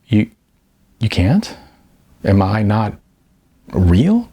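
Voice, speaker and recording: Guy reading a timid hurt woman, hesitant, stammering, gravelly voice, audiobook dialogue. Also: fairly low pitch, huge pitch swings, drawn-out words, dark tone, exaggerated voice. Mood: stunned, hurt. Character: timid, hurt, hopeful aspiring actress.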